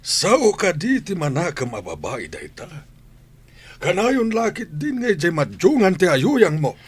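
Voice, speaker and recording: A man's voice: high voice, huge pitch swings, a little slow, slightly rough, heavily acted. A man playing a stern, concerned old grandfather in a radio drama, with an Ilocano accent and an admonishing way of talking. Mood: scolding. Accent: Ilocano Filipino. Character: stern, concerned old grandfather scolding his gambling daughter.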